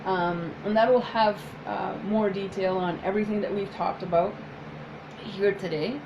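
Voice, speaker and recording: A woman whose first language is French, speaking as a psychotherapist in a soft, hesitant casual chat with many pauses. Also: medium pitch, fairly even intonation, lo-fi sound, noisy background. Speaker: psychotherapist, co-host.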